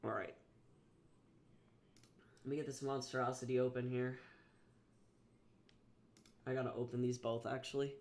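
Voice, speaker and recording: A man's voice: mid-range voice, flat delivery, unhurried, pauses often, a little mellow. A man who is a little sick, chatting casually and rambling with swearing and fillers while drawing on a livestream. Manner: rambling, profane, many fillers and restarts. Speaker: livestreaming artist and author.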